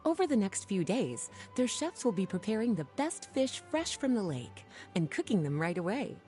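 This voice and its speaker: An actress voicing a relaxed, teasing adult librarian witch with a smooth manner. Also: mid-range voice, moderate pace, faint music behind, close to an everyday voice. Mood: reassuring.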